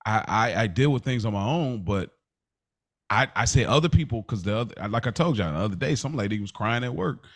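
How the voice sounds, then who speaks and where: A man's voice: on the low side, lively intonation, brisk. Candid, slangy man, emphatic and repetitive. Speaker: podcast host. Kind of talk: candid casual podcast conversation.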